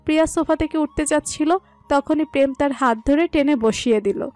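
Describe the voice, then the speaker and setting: A woman narrates a scripted romantic story in a high voice. Soft background music runs under her reading. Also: brisk.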